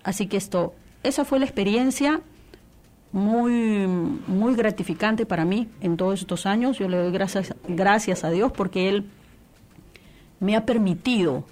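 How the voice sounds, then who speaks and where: Woman from Peru, interview guest, fervent and earnest, halting restarts, middle pitch. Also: darkish tone. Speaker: guest, church radio coordinator. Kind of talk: interview testimony.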